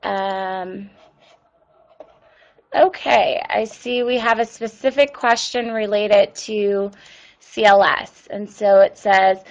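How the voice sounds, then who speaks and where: A woman's voice: mid-range voice, steady tone, takes it slowly, muffled recording. A woman presenting an online webinar and answering viewer questions, with many ums and uhs. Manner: reads viewer questions aloud.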